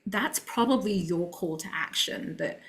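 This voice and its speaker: A woman's voice: medium pitch, lively intonation, unhurried, fairly bright tone. A woman with a London accent, a podcast guest talking in an earnest, motivational way. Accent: London English. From London, England. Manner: earnest and motivational, with a few laughs. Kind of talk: casual interview chat.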